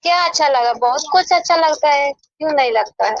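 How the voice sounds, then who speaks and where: A woman's voice: high-pitched, brisk, dry recording. A woman with a Bihari accent on a phone call, answering defensively with curt retorts in casual conversation. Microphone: telephone call. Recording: muffled lo-fi recorded phone call.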